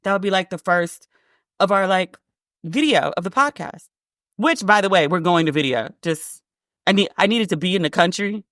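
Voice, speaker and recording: A woman with a deep voice hosting a casual solo podcast, telling a story and acting out the dialogue, with "like" all through her speech. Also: lively intonation, normal speed, a bit bright, dry recording, studio quality. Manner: chatty, heavy use of "like", acts out quoted dialogue. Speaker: podcast host.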